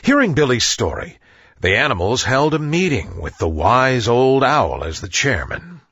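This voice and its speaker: A man reads a children's story with a hugely varied, swooping melody. His voice is fairly high and husky, and the sound is dry and close. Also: a little slow, no echo. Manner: expressive storybook delivery. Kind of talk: scripted children's story narration.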